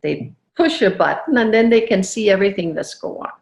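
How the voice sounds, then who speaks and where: Accented woman chatting in an interview, lively, lots of laughs and restarts, roomy echo. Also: medium pitch, a little fast, gravelly. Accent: foreign accent. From Denmark, raised in Venezuela. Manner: animated storytelling, laughs often, many restarts. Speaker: entrepreneur guest. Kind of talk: casual interview chat.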